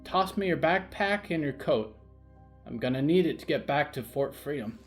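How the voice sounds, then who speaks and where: A man's voice: a little high, animated, normal speed, soft background music, voice pushed up, close to an everyday voice. A man voicing a skittish, feral young girl who is guarded and threatening toward strangers.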